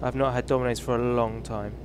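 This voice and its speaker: A man's voice: medium pitch, flat delivery, fast. A man in his thirties with a British accent, chatting casually while he streams a driving game, rambling and self-mocking. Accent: British English. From England. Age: 30s. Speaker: livestream host. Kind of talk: casual gaming livestream chat. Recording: game engine noise behind. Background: truck engine and road noise from the game.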